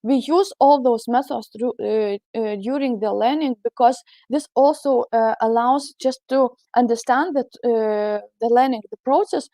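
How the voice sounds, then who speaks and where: A woman's voice: fairly high pitch, dark tone, no echo. A woman with a Lithuanian accent giving a conference talk as a university lecturer, hesitant and full of fillers and restarts. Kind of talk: conference presentation.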